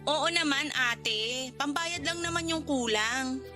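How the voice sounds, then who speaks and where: A woman's voice: sits high, slow, bright, forceful tone, full character voice. A woman playing a hesitant, evasive young student, the younger sister in a radio drama, with a Filipino accent. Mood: breezy, evasive. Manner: hesitant, pleading, apologetic. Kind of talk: Tagalog radio drama acting. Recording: faint music behind the voice.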